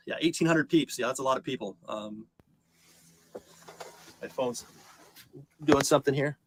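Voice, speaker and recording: A man's voice: fairly high pitch, bright, forceful tone, poor audio. A man speaking casually and unscripted to live stream viewers, hesitant, polite and plain-spoken.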